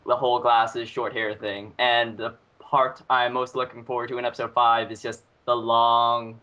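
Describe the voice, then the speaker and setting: A lo-fi recording of a man with a bright, mid-pitched voice. He gives casual, conversational commentary. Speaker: fandub voice actor.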